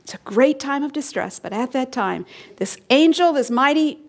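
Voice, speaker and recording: A woman preaching an expository sermon, emphatic and rising and falling in tone, sometimes dropping to a hush and asking her listeners questions. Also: high voice, animated, normal speed. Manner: emphatic, rising and falling, drops to hushed lines; explains and asks the listeners questions.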